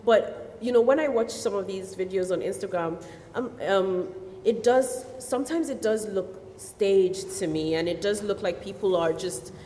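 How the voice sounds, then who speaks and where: A novelist from Nigeria, a woman whose first language is Yoruba, answering questions at a festival in an animated way with many fillers. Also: medium pitch, normal speed, slight background noise. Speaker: novelist guest. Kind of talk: festival stage conversation. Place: festival hall. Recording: echoey hall with long reverb.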